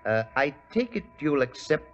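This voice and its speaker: An actor playing an imperious, wealthy industrialist in an old radio mystery, speaking formally with coldly courteous menace. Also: male voice, on the high side, very animated, moderate pace, soft background music. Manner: smooth-talking, formal, menacing. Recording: dull, hissy 1940s radio recording.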